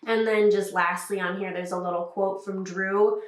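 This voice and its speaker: A woman's voice: medium pitch, steady tone, normal speed. A young woman with a stuffy cold voice, chatting upbeat through a makeup tutorial with frequent fillers. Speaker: beauty vlogger. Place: small room indoors. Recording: echoey room.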